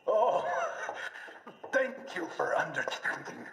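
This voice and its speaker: Oily game villain, Spanish accent, wildly swooping pitch, fawning, nervous, echoey chamber. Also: male voice, slow pace, gravelly, full character voice. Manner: fawning, giggly, animated. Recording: echoey reverberant room.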